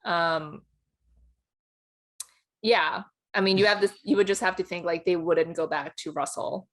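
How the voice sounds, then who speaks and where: Low-voiced woman in informal chat. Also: steady tone, a little slow. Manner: informal, peppers speech with "like". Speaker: entertainment editor co-hosting a discussion show. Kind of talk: casual film awards chat.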